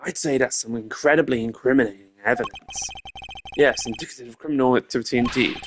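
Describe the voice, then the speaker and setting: A man's voice: mid-range voice, slight background noise, close to an everyday voice. A man playing a cool, condescending prosecutor. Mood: analytical. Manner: condescending, measured, precise. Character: cool, arrogant male prosecutor investigating a murder. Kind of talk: fan voice-over of a video game.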